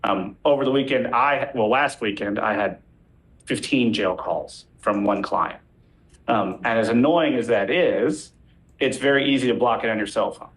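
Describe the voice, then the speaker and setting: A man speaking as a defense lawyer, making a measured legal argument in a courtroom. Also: medium pitch, fairly bright tone, low-quality recording.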